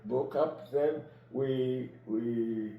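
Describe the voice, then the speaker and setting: A man in his nineties from Egypt recalls his life in an interview, speaking haltingly and deliberately and often repeating phrases. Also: medium pitch, narrow, tinny audio. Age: 90s. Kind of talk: personal recollection in an interview. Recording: roomy echo with clear background noise.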